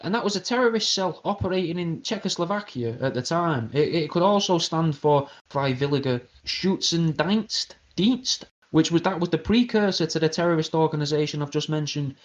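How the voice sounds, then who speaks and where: A man's voice: fairly high pitch, normal speed, hardly any pauses. Crude rambling British man, lo-fi. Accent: British English. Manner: rambling, crude, deadpan absurd. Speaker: radio show host. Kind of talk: comedic conspiracy monologue.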